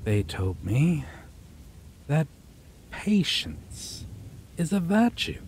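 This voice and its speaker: A man voicing an ancient, possessive vampire in an ASMR roleplay, theatrically courteous and menacing, with breathy, drawn-out phrasing that slips into whispers. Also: fairly high pitch, heavily acted. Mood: brooding, weary. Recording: steady rain and thunder noise behind.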